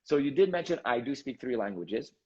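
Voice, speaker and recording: A man from Montreal whose first language is Armenian, telling his story as an interview guest in an animated way, acting out the dialogue he quotes. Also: medium pitch, fairly quick, husky, muffled recording. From Montreal, Canada. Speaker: guest, speaker and coach.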